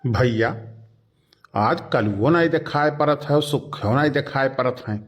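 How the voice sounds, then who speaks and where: A man's voice: medium pitch, takes it slowly. A man voicing a blunt, grumbling village uncle with an Awadhi accent in a cartoon comedy, nagging as he talks.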